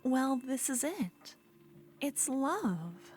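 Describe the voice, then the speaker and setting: A woman playing an obsessive, possessive yandere duelist in an ASMR fantasy roleplay, speaking intimately and moving between soft speech and breathy whispers. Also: sits high, very animated, extremely slow, a little hiss or hum, heavily acted. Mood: knowing, seductive.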